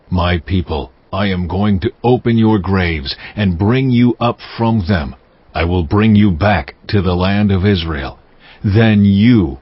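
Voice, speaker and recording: An actor playing God in a dramatized scripture reading, speaking solemnly and deliberately, in a commanding, declamatory manner. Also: male voice, deep voice, husky, exaggerated voice. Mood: solemn, authoritative. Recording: dull muffled audio with music behind. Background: music.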